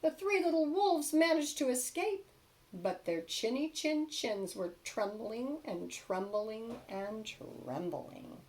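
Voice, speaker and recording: Female teacher-type storyteller: unhurried, highly animated read-aloud for children. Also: a little hiss or hum, poor audio. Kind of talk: expressive children's storybook narration.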